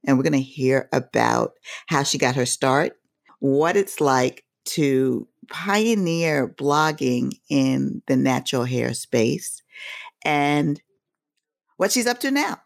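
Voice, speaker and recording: A woman hosting an interview podcast, introducing her guest and asking questions in a measured way. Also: extremely low pitch, expressive, gravelly. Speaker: podcast host.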